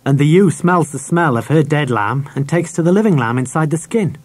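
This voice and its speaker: Man playing an emphatic, talkative schoolmaster, pitched up. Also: animated, fairly quick. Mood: kindly enthusiastic. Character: kindly, talkative middle-aged male schoolmaster, opinionated and brisk.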